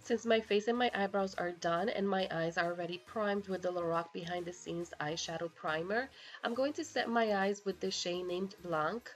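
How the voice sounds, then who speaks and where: A woman's voice: lowish voice, normal speed, soft background music, rough recording. A young woman with a nasal voice from a cold, talking casually through a makeup tutorial voice-over. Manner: casual, chatty, a little crude. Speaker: beauty vlogger.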